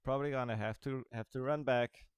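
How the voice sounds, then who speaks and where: A man's voice: mid-range voice, steady tone, fairly quick, clean tone, no echo, highs cut off. A man giving casual, rambling commentary over a game he is playing, with dry, sarcastic asides. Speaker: gaming video creator.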